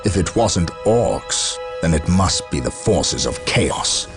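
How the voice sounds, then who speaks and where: Deep, stern space marine captain. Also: male voice, expressive, slow pace, music in the background. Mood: resolute. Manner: grave, commanding, formal. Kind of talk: video game cutscene acting.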